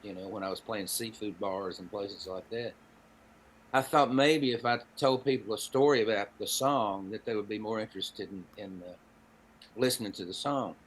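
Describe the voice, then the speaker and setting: A man's voice: lowish voice, steady tone, moderate pace, breathy edge, poor audio. A man in his seventies with a Southern Appalachian American accent, telling personal anecdotes in a folksy, wry, conversational way. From Appalachian Tennessee, United States. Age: 70s. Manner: folksy, wry, dry humor. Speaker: podcast guest, storyteller and songwriter.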